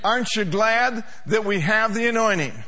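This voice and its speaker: A man's voice: sits high, normal speed, sharp tone. A male pastor preaching a sermon to a congregation, emphatic, with call-and-response.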